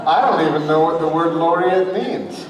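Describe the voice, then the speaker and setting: A man's voice: on the high side, normal speed, over a noisy crowd. A man hosting, giving an informal spoken introduction of a performer. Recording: echoey room, distant mic.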